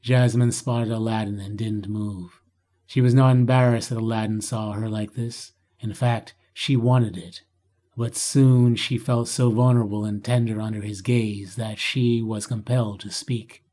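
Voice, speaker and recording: A man's voice: on the low side, flat delivery, a little slow, warm tone. A man reading scripted story narration as an author performing his own audiobook.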